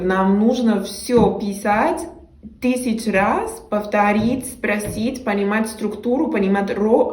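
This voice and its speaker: A woman's voice: medium pitch, a little mellow, roomy sound. Mexican woman, hesitant learner's speech. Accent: Mexican Spanish. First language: Spanish. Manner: hesitant, frequent fillers and restarts, small grammar slips. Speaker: vlogger, language learner. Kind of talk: casual talk to camera.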